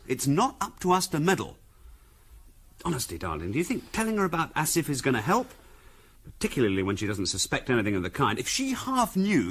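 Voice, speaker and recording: A sceptical English husband in a 1970s radio play. His husky, mid-pitched voice rises and falls sharply. He is brusque, impatient and condescending. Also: a bit bright, heavily acted. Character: impatient, patronising middle-class husband, dismissive and sceptical. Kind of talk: 1970s radio drama acting.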